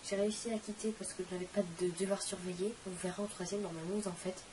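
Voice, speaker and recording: Teen girl vlogger with a cold, chatty and self-deprecating, recorded from across the room over a steady hiss. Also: mid-range voice, fast talker, distant mic. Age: teens. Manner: rambling, self-mocking, chatty. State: has a cold, coughing. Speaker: channel host. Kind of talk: casual vlog Q&A.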